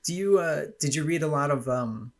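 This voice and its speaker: A man's voice: fairly high pitch, expressive, moderate pace. A middle-aged man hosting a podcast interview, chatty and rambling, laughing often. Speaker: podcast host. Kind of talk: casual interview chat.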